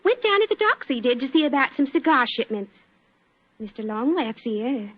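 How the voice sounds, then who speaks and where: A woman's voice: sits high, sing-song, moderate pace. A woman playing a plain-spoken young London shop girl, chatty and forthright, with a London accent, in 1940s radio drama. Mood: polite, busy. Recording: tinny old radio recording.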